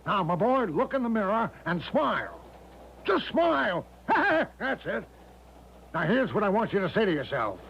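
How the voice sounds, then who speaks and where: A high-pitched, breezy confidence-man major in an old radio play. He coaxes grandly and reassures with total self-assurance. The recording is dull, with steady noise behind it. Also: a little slow, creaky, exaggerated voice. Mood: coaxing, jovial. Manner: grandly self-assured, coaxing showman's patter. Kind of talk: 1970s radio drama acting.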